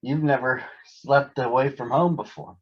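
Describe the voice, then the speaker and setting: A man voicing a caring, cautious mother bear in a storybook, gently instructive. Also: mid-range voice, fairly even intonation, a little slow, slightly rough. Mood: worried. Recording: dull lo-fi sound.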